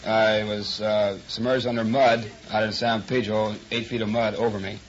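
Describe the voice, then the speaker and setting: Polite, low-voiced contestant, old radio. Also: male voice, flat delivery, normal speed. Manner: polite, plain-spoken, says sir often. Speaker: quiz show contestant. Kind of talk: answering interview questions on air. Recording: dull, slightly noisy 1950s radio.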